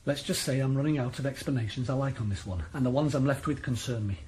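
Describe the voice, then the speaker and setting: An actor playing a cold, menacing middle-aged crime boss in a radio play, speaking in a matter-of-fact way. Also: male voice, mid-range voice, fast, a little mellow, a little hiss or hum, lightly acted. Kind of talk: radio drama acting.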